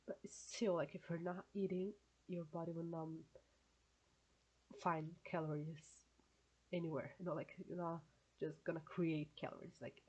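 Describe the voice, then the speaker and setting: A woman's voice: lowish voice, warm tone, slightly rough, highs cut off. A woman from Brazil with a Brazilian Portuguese accent, chatting casually to her viewers with lots of fillers, and a stuffed nose from a cold. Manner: chatty, many fillers, self-correcting phrasing. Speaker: reaction video creator. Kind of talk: casual solo commentary.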